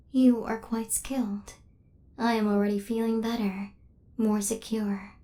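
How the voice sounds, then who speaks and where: A woman playing an injured, devoted female killer robot in an intimate ASMR roleplay, with a soft, breathy half-whisper and formal, precise phrasing. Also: medium pitch, close to an everyday voice. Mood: gentle, reassuring.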